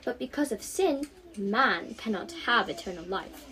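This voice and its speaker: A woman's voice: a little high, lively intonation, a little slow, bright, forceful tone, rough recording. A teenage girl voicing a kind, devout young schoolgirl in a storybook, earnest and emphatic.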